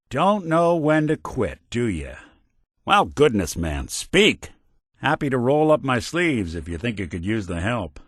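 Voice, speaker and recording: A man's voice: medium pitch, no room sound. An actor playing a world-weary male synth detective in a video game, delivering dry, sardonic quips in a noir drawl. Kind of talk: video game companion voice lines. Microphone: close studio mic.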